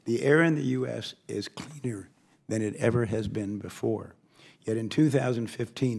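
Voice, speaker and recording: An older man with a hoarse voice, reading a formal opening statement as committee chairman with occasional asides. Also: fairly low pitch, darkish tone.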